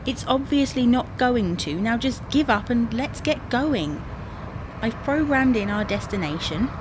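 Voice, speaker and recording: A British wife acted by a woman with a fairly high voice, exasperated and bossy. She nags briskly and insistently, as in a domestic radio comedy. Clear vehicle noise runs behind her. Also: lively intonation. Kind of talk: domestic comedy audio drama. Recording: noisy, car ambience.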